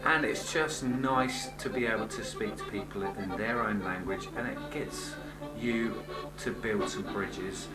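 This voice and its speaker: A man teacher giving a measured, friendly statement to camera. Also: on the low side. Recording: echoey room, loud music.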